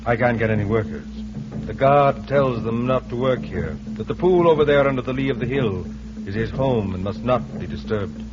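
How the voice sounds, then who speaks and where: A man's voice: medium pitch, lively intonation, normal speed, background noise, close to an everyday voice. Man acting a haunted engineer, muffled old radio. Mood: uneasy, ominous. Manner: brooding, dramatic, ominous. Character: haunted, lonely male mining engineer on edge. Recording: muffled vintage radio recording.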